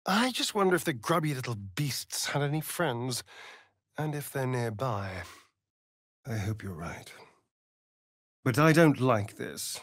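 An actor playing a vain, sardonic vampire rogue in a video game, with a British accent and an arch, aristocratic, theatrical delivery. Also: male voice, mid-range voice, animated, measured pace, long pauses, fairly bright tone, no echo, studio quality. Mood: guarded, uneasy. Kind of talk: theatrical game character dialogue.

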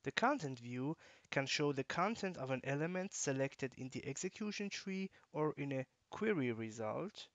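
A man with a mid-range voice reads a software walkthrough in an even, instructional way. The recording is dry and close, with no room sound. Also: mid-range voice, no room sound, dull audio. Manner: measured, instructional. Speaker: software tutorial narrator. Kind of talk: scripted screencast narration.

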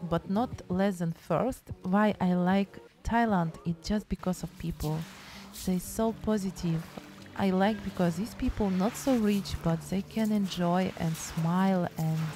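Chatty Ukrainian woman vlogging in slow English. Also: lowish voice. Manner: chatty, enthusiastic, broken English. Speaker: painting vlogger. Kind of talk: casual travel and painting vlog. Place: beach outdoors and art studio. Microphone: handheld phone camera at arm's length.

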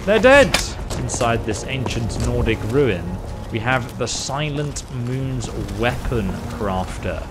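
A man narrates his own run through a fantasy game, chatty and quick to exclaim when the fighting starts. His voice sits at an ordinary mid pitch, with loud game music running beneath it. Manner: excitable, exclaiming and role-playing in combat. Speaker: gaming YouTuber. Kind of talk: let's-play commentary.

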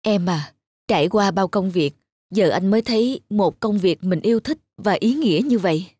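A woman's voice: mid-range voice, expressive, normal speed, warm tone, slightly rough, dry recording. A woman voicing an earnest, reflective young husband and devoted father in a cartoon. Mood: hopeful. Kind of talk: cartoon dubbing. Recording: music behind the voice.

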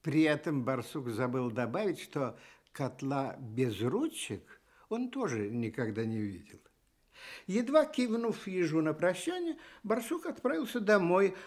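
A man telling a children's fairy tale for Soviet radio in an expressive storytelling style. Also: a little high.

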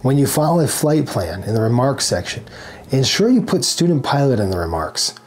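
A man in his forties presents a scripted tutorial, speaking to camera in an instructional way. His voice sits at a middle pitch. Music plays behind the narration. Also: very animated, brisk. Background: background music.

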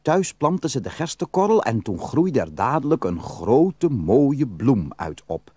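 Animated, mellow male storyteller. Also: medium pitch, measured pace, husky, no room sound. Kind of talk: expressive fairytale narration. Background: music.

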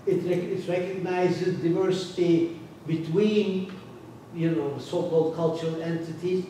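Older male guest speaker, halting and full of fillers, fairly high voice, roomy distant sound. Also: steady tone, warm tone. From Turkey. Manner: halting, many restarts and fillers. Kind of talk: academic lecture discussion. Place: large lecture room. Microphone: podium microphone, standing. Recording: echoey room, distant mic, faint noise.

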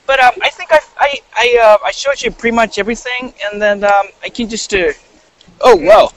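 A man in his thirties with a Japanese accent, chatting in halting, friendly English as he guides a live walking tour outdoors on a phone. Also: very high-pitched, big pitch swings, dry recording, poor audio. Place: outdoors in a park. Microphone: phone at arm's length on a video call.

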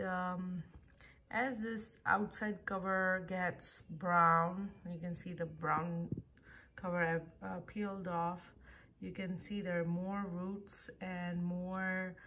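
A woman at home at a wooden table, casually demonstrating a gardening task at an unhurried pace with many fillers and self-corrections. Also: fairly low pitch, flat delivery, fairly bright tone.

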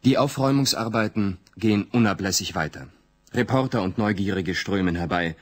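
An actor narrating a radio play as a matter-of-fact chronicler, in a factual report style. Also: male voice, lowish voice, brisk, natural voice. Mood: sober.

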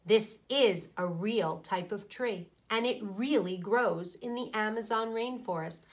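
Slow-paced woman reading aloud. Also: mid-range voice. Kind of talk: storybook read-aloud for children. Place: small indoor room.

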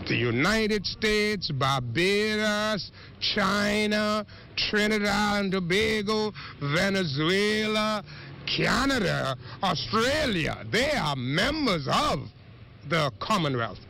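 Guy reporter doing street interviews, high bright voice, slow and easy, bit of traffic noise. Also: animated, creaky. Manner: slow, measured, conversational questioning. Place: outdoors, street. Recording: faint street noise outdoors.

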